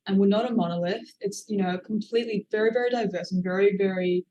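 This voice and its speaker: A woman's voice: medium pitch, fast talker, dark tone, dull audio. A woman, an author, answering interview questions in a thoughtful, hedging way with many fillers. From Australia. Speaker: author guest.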